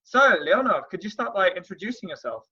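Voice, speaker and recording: A young man hosts a casual podcast, talking in a relaxed way with frequent "like"s. His voice is bright and a touch high, and the recording sounds dull and lo-fi. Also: a little fast, husky. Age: young adult. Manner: relaxed, uses filler words like "like". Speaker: podcast host. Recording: dull, muffled audio.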